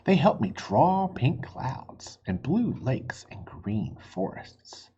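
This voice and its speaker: A reader voicing a gentle, thoughtful male silverback gorilla in a picture book read aloud. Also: medium pitch, sing-song, takes it slowly, muffled recording, a little hiss or hum. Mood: patient.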